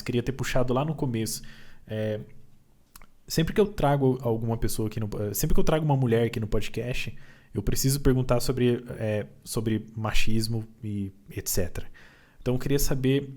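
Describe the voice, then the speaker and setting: A man hosting a casual podcast interview, speaking in a fairly low voice with a Curitiba accent. He eases into his questions slowly, with fillers and restarts along the way. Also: a little mellow. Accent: Curitiba Brazilian Portuguese. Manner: easygoing, hesitant with fillers when asking questions. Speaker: podcast host.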